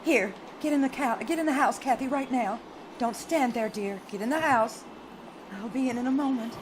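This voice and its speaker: A woman's voice: a little high, moderate pace, noisy background, natural voice. A woman playing an anguished, protective caretaker of a grieving little girl in an old-time radio drama, earnest and emphatic. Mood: wary, stern.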